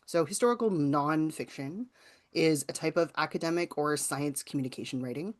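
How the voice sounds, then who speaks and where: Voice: medium pitch, normal speed. A writing teacher from Ontario with a Canadian accent, giving an informal online workshop presentation in a conversational, explanatory way. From rural southwestern Ontario, Canada.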